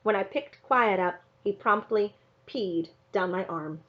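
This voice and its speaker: A woman reading a children's novel aloud in an expressive narrating style. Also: medium pitch, measured pace. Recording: slightly dull, lo-fi sound.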